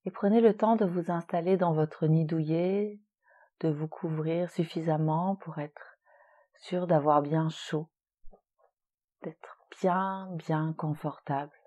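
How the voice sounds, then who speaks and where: A woman leading a guided relaxation meditation in a soothing, gentle, unhurried manner with long pauses. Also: deep voice, steady tone, muffled recording. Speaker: yoga nidra relaxation guide.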